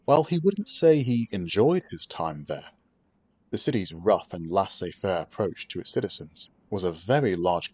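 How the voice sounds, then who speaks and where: A man giving scripted fantasy story narration as an audiobook narrator, with a steady, even storytelling delivery. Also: fairly low pitch, slightly rough.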